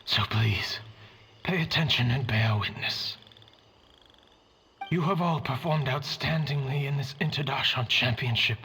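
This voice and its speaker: Solemn, bright-voiced scholar's ghostly mind. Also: male voice, mid-range voice, slight background noise. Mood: solemn, stately. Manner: measured, theatrical, lapsing into breathy near-whispers. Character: fragment of a dead male scholar's mind, solemn and melancholic. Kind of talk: dramatic game voice acting, slow monologue.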